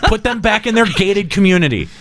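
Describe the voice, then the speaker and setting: A man's voice: sits high, expressive, a little fast, a bit bright. A man chatting casually as a panelist on a gaming show, joking and sarcastic. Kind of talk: casual chat.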